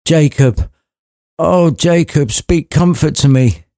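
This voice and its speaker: A man's voice: takes it slowly, mellow, clean studio sound. A man voicing a stubborn old Victorian miser in a story, querulous and pleading. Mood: desperate pleading. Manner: high-pitched pleading. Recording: long reverberant hall echo.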